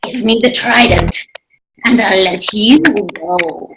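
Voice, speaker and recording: A menacing sea-witch queen, acted by a woman at a table read. She takes her time, scornful and regal, in a medium-pitched voice. The recording is plainly noisy. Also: lightly acted. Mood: scornful threat. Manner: haughty, scornful, drawn-out delivery. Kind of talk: table read of a fantasy screenplay.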